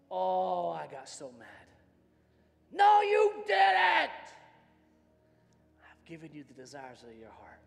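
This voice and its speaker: High-pitched American male preacher in a hall. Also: gravelly. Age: middle-aged. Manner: emphatic, dramatic swings from hushed to shouting. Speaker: pastor preaching. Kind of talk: impassioned sermon with personal storytelling. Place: large church stage indoors. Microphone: worn microphone, hands free. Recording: reverberant large hall sound system.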